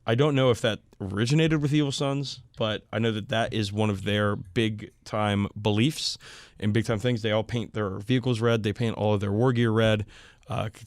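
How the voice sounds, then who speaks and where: A man's voice: lowish voice, dry recording. A man co-hosting a hobby podcast, talking casually and enthusiastically, with some swearing. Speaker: podcast co-host.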